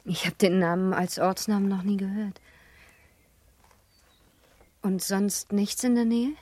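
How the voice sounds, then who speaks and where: A husky young baron's wife, played by an actress in a literary radio play. She speaks in a slightly low register with refined, expressive diction. Her temper is restless and wistful, always longing for livelier things. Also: measured pace, dry recording, natural voice. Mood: inquiring.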